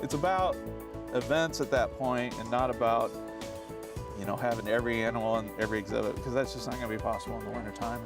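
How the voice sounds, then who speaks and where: A man's voice: medium pitch, fairly even intonation, fast, creaky, over music. A man, a zoo staff member, giving conversational interview answers and explaining things plainly.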